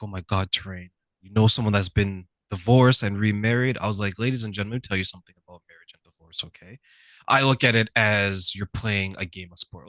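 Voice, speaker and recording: Man, middle-aged, bright punchy voice, dry close sound, animated interview host. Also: on the low side, dry recording, low-quality recording. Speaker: talk show host. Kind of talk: conversational interview.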